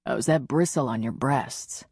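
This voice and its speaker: A woman voicing a big, gruff, tender man seducing his lover in a low, reassuring murmur for an audiobook. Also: unhurried, a little mellow, dry recording, studio quality, heavily acted. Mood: teasing, amorous.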